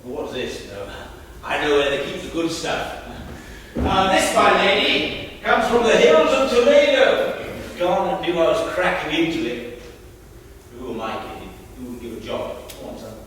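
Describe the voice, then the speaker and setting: A man's voice: a little high, wildly swooping pitch, measured pace, fairly bright tone, a little hiss or hum, exaggerated voice. An actor playing a drunk, nosy upper-class man at a party, theatrical and sly. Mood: drunken bluster. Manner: theatrical, sly, drawling with sudden outbursts. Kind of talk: stage play performance. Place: theatre stage. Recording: echoey theatre stage from far off.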